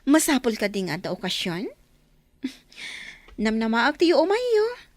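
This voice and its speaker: Woman playing a coy Filipino flirt, high-pitched and swooping. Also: huge pitch swings, measured pace, dry recording. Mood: coy, teasing. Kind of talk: Filipino radio drama acting.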